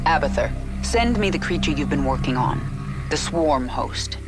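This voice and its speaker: A woman's voice: low-pitched, animated, moderate pace. An actress playing a vengeful, commanding alien queen in a video game, speaking with resolute determination. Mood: determined. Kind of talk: video game cutscene acting. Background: loud music.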